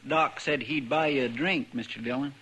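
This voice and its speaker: An actor playing an earnest, deferential American deputy in a 1950s radio western, rambling along in a homespun way. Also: male voice, medium pitch, moderate pace, sharp tone, husky. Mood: folksy, earnest. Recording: noisy vintage 1950s radio recording.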